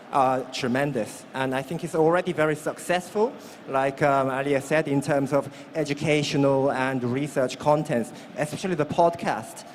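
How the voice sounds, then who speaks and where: A man from South Korea speaking on a live panel as an academic, in a measured, hesitant way. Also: on the high side, a little hiss or hum. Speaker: clinical assistant professor, panel member. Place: live stream stage at a busy event.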